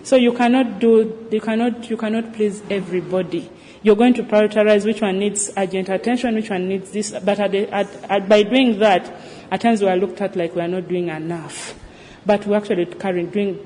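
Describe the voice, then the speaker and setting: A woman's voice: medium pitch, fairly even intonation, normal speed, dark tone. A woman with a Ugandan accent explaining her health work in an unscripted interview. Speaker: health worker in a maternity ward. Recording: echoey hall with background noise.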